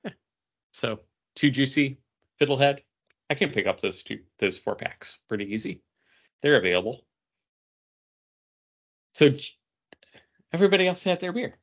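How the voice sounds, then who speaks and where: A man's voice: medium pitch, animated, normal speed, long pauses, highs cut off. A man hosting a podcast with casual, rambling and jokey chat. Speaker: podcast host.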